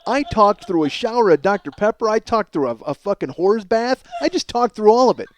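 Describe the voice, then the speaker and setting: A man's voice: high-pitched, expressive, brisk, no room sound. A man hosting a comedy podcast in a rambling, self-mocking monologue, ranting with profane exasperation.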